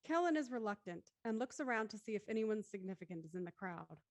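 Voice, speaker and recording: A woman's voice: mid-range voice, lively intonation, quick pace, no room sound. A woman reading stage directions as scripted narration for a drama.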